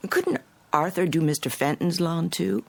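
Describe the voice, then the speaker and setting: Husky, gravelly prim neighbor. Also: female voice, lowish voice, lively intonation, normal speed. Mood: prim, hesitant. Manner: hesitant, insinuating, prim. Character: polite, fretful middle-aged female neighbor. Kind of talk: naturalistic radio drama dialogue.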